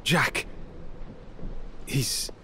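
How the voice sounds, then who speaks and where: A man's voice: extremely slow, bright, forceful tone, slight background noise. A man playing an earnest young sailor hero in a video game, explaining things sincerely. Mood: troubled. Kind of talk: dramatic video game acting.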